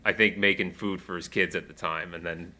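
A man's voice: deep voice, fairly quick. A man hosting a community radio show, chatting casually with many fillers and asides. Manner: relaxed, rambling with fillers. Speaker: radio show host and music coordinator.

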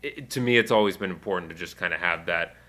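A man's voice: sits low, fast. A man hosting a community radio show, chatting casually with many fillers and asides. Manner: relaxed, rambling with fillers. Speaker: radio show host and music coordinator.

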